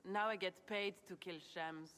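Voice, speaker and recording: A woman's voice: lowish voice, fairly even intonation, moderate pace, fairly bright tone, clear, resonant tone, in a large hall, lightly acted. An actress playing a curt, vengeful female elf mercenary in a video game, terse and blunt. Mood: cold. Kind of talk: video game character dialogue.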